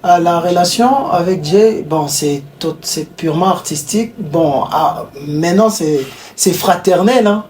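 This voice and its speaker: A man's voice: high voice, a little slow, hardly any pauses, a little mellow. A man with a Congolese accent, a musician being interviewed, chatting informally and often repeating his phrases. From Bukavu, DR Congo. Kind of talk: casual chat mixing French and Swahili. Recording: noticeable background noise.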